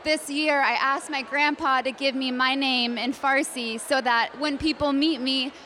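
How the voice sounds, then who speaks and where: Bright, high-voiced woman telling a personal story. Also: fairly even intonation, a little slow, a little hiss or hum. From Iranian family.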